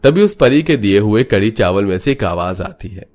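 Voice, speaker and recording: A man's voice: fairly low pitch, expressive, normal speed, darkish tone. A man giving scripted narration for a cartoon moral story. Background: background music.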